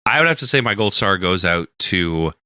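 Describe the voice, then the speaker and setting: A man co-hosting a radio show, chatting casually in an earnest, halting way. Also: sits low, lively intonation, pushed and bright, clean tone, dry recording, studio quality. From Canada. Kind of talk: casual chat.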